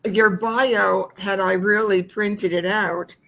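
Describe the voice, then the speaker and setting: A woman's voice: mid-range voice, steady tone, takes it slowly, gravelly, a bit bright. A woman hosting a talk show, introducing her guest at length with effusive praise and some hesitant fillers. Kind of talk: interview introduction.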